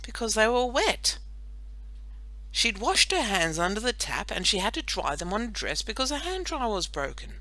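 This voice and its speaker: A woman's voice: mid-range voice, big pitch swings, normal speed, pushed and bright, voice pushed up. A woman voicing a sulky, matter-of-fact young schoolboy in a children's audiobook, explaining in a whiny way. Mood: exasperated.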